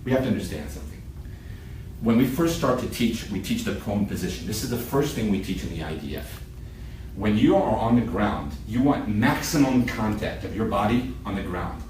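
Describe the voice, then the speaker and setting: A man's voice: fairly low pitch, a little mellow. Male instructor in a very echoey room. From Israel. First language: Hebrew. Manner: emphatic, repeats key points in short sentences. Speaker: military shooting instructor. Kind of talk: live lecture to a class. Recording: echoey room, distant mic, background noise.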